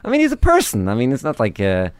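A man with a New York accent shaded by Yiddish and a little Israeli, who grew up speaking Yiddish, talking casually as a guest in halting, restart-filled phrases that trail off quietly. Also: medium pitch, huge pitch swings, no echo. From Brooklyn, New York. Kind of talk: casual conversation.

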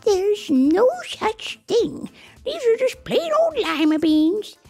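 A woman voicing a kind, plump old woman who speaks slowly in a high, sing-song, coaxing way. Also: very animated, warm tone, full character voice. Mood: sweet coaxing.

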